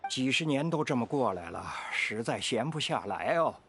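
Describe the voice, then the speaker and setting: An actor playing a kindly, steadfast old veteran knight in a video game, with an aged, gravelly voice and an expressive manner. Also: male voice, mid-range voice, moderate pace, slight background noise. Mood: genial. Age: older. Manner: expressive, sighing. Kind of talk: Chinese video game voice acting. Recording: music behind the voice.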